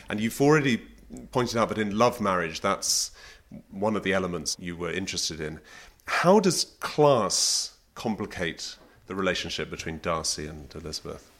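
Low-voiced male interviewer. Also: fairly bright tone. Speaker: podcast host, interviewer. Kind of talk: literary interview.

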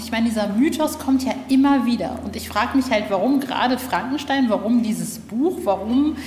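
Echoey woman interviewer with a highish voice. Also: darkish tone. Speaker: podcast host, interviewer. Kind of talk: casual on-location interview. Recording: echoey reverberant hall, faint noise.

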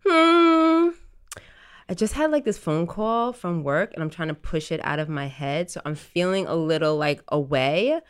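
A woman with a low-pitched voice hosts a relaxed podcast conversation. She talks in a loose, chatty way and says "like" often. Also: a little slow, clear, resonant tone. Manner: chatty, fills speech with "like". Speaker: podcast host.

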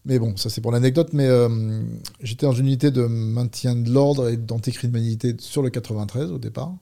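A man in his fifties from Paris, a police unit leader talking through his career as a guest, measured and hesitant with fillers. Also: medium pitch, fairly even intonation, gravelly, dark tone. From Paris, France. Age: 50s. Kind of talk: conversational interview.

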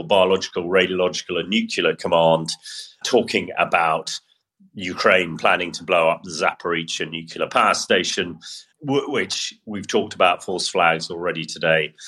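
A man's voice: deep voice, bright, forceful tone. A man giving plain-spoken, dismissive news commentary as a military analyst.

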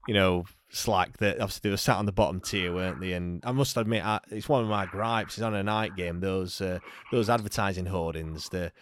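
A man hosting a live call-in chat, relaxed and hesitant, with lots of fillers. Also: sits low, a little fast, hardly any pauses, no echo. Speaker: host.

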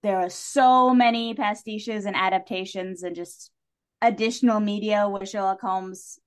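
A woman's voice: medium pitch, steady tone, a little slow. Woman on a podcast just chatting, super into it, bit wry, playful little asides. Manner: enthusiastic, wry fan talk with playful asides. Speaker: podcast guest. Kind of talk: casual podcast chat.

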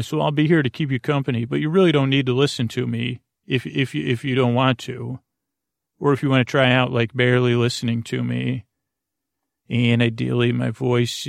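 Guy rambling on a sleep podcast, lots of vocal fry, hesitant, trips over words, really dry close mic. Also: medium pitch, dry recording. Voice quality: creaky. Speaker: podcast host.

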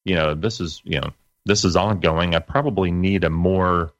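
A middle-aged man with a very low voice talks casually as an interview guest. He recounts things in a halting, conversational way. The audio is dry and a little dull, with a limited top end. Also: no room sound.

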